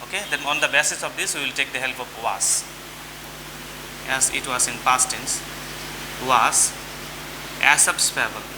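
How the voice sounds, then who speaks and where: Male teacher, deliberate and repetitive classroom delivery, heavy rain-like hiss over the recording. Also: mid-range voice. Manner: deliberate, repeats and spells out words. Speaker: English grammar teacher. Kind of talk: classroom lesson addressed to students. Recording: very noisy, rain-like hiss throughout.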